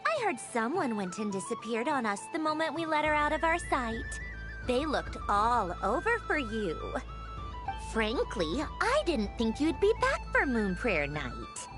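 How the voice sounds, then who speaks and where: A haughty young woman from a video game, high in pitch and unhurried in pace. She is prickly and easily flustered, with an extremely swooping delivery full of scoffs and exasperation. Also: very animated. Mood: haughty, mocking. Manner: scoffing, exasperated, sharp-tongued. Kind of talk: dramatic video game voice acting. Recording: music behind the voice. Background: music.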